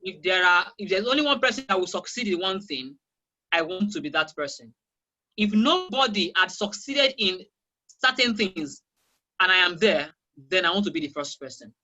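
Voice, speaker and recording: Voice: mid-range voice, lively intonation, normal speed, bright, forceful tone, low-quality recording. A teacher from Nigeria with a Nigerian accent, a Yoruba speaker, answering interview questions emphatically and repeating key phrases over a video call. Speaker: guest teacher of English.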